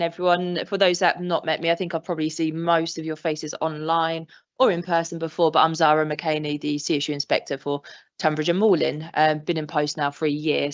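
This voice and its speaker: An English woman, a police inspector, giving an informal briefing at a council meeting, with plenty of ums. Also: low voice. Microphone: meeting room microphone. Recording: dull lo-fi council livestream audio.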